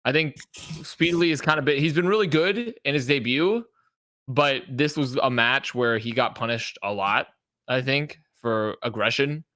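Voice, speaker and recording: Guy breaking down esports matches, forceful bright voice, dry close sound. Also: mid-range voice, measured pace, no echo. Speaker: podcast co-host and esports analyst. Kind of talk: casual match analysis.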